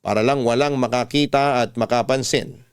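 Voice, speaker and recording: A man's voice: mid-range voice, fairly even intonation, normal speed, clean tone. A man narrating a scripted first-person love story in the style of a classic radio drama.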